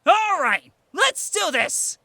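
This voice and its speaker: A man playing a cheeky, impulsive teenage boy swordsman in an anime-style audio drama, bantering expressively and quick to protest. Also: fairly high pitch, sing-song, sharp tone, slight background noise. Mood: fired up.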